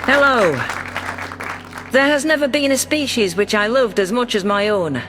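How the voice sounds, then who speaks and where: Man acting as alien governor, high voice, heartfelt, earnest, sci-fi audio story, faint music behind. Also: normal speed, crowd noise. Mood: earnest, proud.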